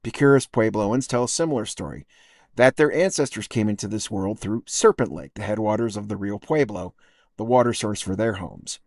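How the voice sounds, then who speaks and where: A man in his fifties with an American accent, reading a scripted personal essay in a measured, reflective, wry way. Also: on the low side, creaky, darkish tone, dry recording, studio quality. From United States, upstate New York and Texas. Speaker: podcast writer and narrator.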